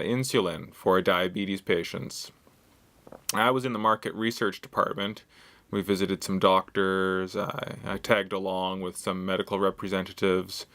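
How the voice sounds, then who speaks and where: Man, 30s, from western Canada; English teacher, bright and crisp, plain learner-friendly delivery. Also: on the low side. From Canada, West Coast. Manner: simple sentences, frequent "uh" fillers, questions to the viewer. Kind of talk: slow, clear lesson monologue for learners.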